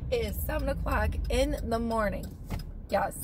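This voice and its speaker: A woman in her thirties with a hoarse, scratchy voice, hosting a chatty, frank vlog from inside her car. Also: fairly high pitch, moderate pace. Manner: frank, animated storytelling, repeats herself. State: hoarse, lost voice. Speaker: vlog host. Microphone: dash-mounted camera. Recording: distant mic with loud car noise.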